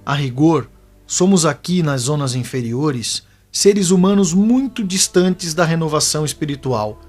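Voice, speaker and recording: A solemn elder spirit mentor, played by a man with a Brazilian accent, counsels formally in an audio novel. The voice sits fairly high for a male. Music runs underneath the teaching. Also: expressive, a little slow, a little mellow. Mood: solemn, instructive. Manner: formal, didactic. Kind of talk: scripted audio novel acting.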